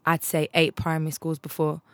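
A young woman tells her story in a chatty, casual way. She laughs easily and slips into voices to act out what others said. Her voice sits a little low, and the sound is close and dry. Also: completely flat delivery, fairly quick, fairly bright tone, no echo. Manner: chatty, laughs often, acts out quoted voices. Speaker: interview guest.

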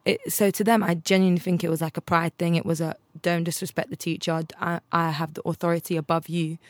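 A woman's voice: on the low side, little pitch movement, fast, no room sound. A twenty-one-year-old woman, chatting casually as an interview guest, laughing often and acting out the voices in her stories.

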